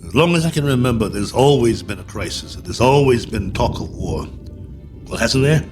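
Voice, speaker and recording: An actor playing a stranded, injured astronaut colonel in a radio drama, weary and stubborn, with a clipped, dryly sardonic way of talking. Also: male voice, mid-range voice, animated, normal speed, music in the background, natural voice. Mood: bitter, sardonic.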